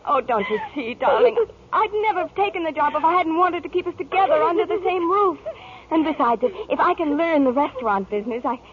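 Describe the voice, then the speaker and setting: An actress playing a devoted, long-suffering mother in a 1940s radio noir drama, speaking earnestly and sometimes haltingly. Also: extremely high pitch, animated. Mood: distressed, pleading. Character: hardworking middle-aged mother, devoted, long-suffering, recounting her troubles. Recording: muffled, noisy 1940s radio broadcast.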